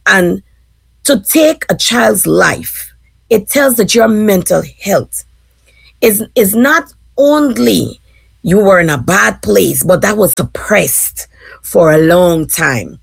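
A woman in her forties from Guyana with a Guyanese accent, a teacher speaking thoughtfully as a panel guest, pausing often. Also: mid-range voice, animated, creaky, slightly rough, no room sound. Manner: thoughtful, halting with fillers. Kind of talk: casual panel discussion.

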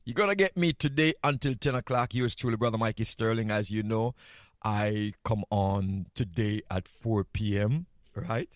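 A man's voice: fairly low pitch, moderate pace, no room sound, studio quality. A man with a Jamaican accent, sitting in as a morning radio host, chatting jovially with chuckles and repeated greetings. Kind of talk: casual morning radio chat.

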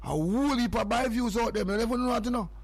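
A man's voice: very high-pitched, quick pace. A man with a Jamaican accent hosting a morning radio show, repeating phrases and numbers over and over to hype his listeners. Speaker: radio host.

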